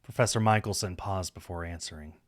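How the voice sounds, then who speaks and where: A man's voice: deep voice, brisk, no echo, studio quality. A man reading story narration aloud from a script. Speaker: streamer reading a visual novel aloud.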